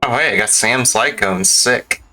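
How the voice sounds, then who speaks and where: A man's voice: medium pitch, measured pace, pushed and bright, soft background music. A man commentating casually on a game livestream, swearing freely in blunt, slangy reactions. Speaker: game streamer.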